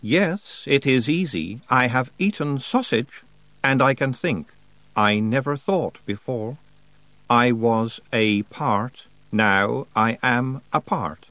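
A man voicing a mad male spirit of winter, talking slowly and dreamily with a chilling calm. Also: mid-range voice, no room sound. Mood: dreamy. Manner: slow, dreamy, cheerfully chilling.

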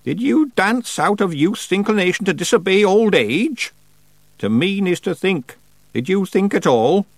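A man's voice: sits high, animated, no room sound, voice pushed up, exaggerated voice. A very old witch, stern and solemn, weighs every word as she lectures, voiced by a man reading a storybook. Her voice sits well above his own. The sound is dry and close. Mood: solemn, probing. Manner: slow, severe, lecturing.

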